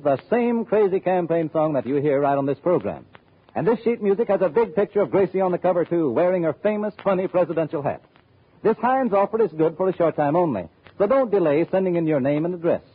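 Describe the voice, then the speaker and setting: A man's voice: on the high side, breathy edge. An American man announcing a 1940s radio advertisement. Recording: phone-like old radio recording.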